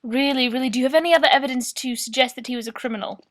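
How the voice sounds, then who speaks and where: Woman playing polite high-voiced radio host. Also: racing, lightly acted. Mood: inquisitive. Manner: formal, courteous, hesitant when taken aback. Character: polite, probing female radio news host, easily flustered. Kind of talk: amateur radio play.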